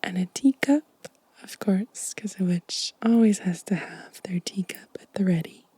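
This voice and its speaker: A woman whispering gently and softly in an ASMR show-and-tell style, breathy and unhurried. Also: medium pitch, no room sound. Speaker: ASMR video creator.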